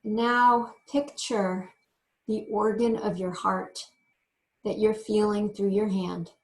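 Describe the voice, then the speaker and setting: Slow-paced woman guiding a meditation. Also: medium pitch, steady tone, darkish tone, poor audio. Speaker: yoga meditation teacher. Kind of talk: guided meditation.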